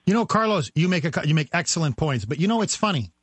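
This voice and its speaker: A man guest-hosting a talk radio show, talking in a forceful, rhetorical way and speeding up as he presses his points. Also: on the high side, big pitch swings, fast, slightly rough, dry recording. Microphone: studio microphone.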